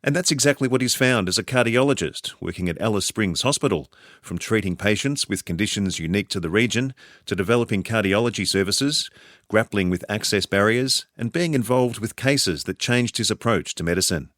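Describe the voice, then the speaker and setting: Low-pitched Australian man, dry studio narration. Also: lively intonation, fairly quick, fairly bright tone, no echo. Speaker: podcast host. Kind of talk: scripted narration introduction.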